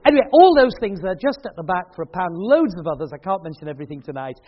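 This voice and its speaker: A man's voice: sits high, very animated, quick pace, muffled recording. A man hosting a church event, chatting and joking with the audience in a hall. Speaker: event host and speaker. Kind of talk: casual chat at a church event.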